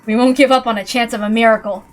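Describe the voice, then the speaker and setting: A resolute young hero acted out by a woman in a fantasy story reading. The voice is high, emphatic and full of determination. Faint music runs behind it. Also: steady tone, fast, natural voice. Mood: defiant.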